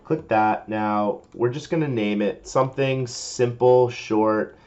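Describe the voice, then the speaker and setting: A man presenting a casual, step-by-step software tutorial, informally explaining each step as he goes. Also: mid-range voice, takes it slowly, lo-fi sound.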